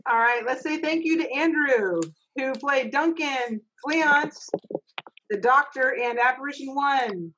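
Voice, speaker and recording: A woman's voice: high-pitched, bright, forceful tone, slight background noise, rough recording. A middle-aged woman acting as stage manager, cheerfully thanking each reader in a casual group video call from her home office. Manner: upbeat, encouraging, brisk announcing. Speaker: stage manager hosting an online reading. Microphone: webcam on a video call.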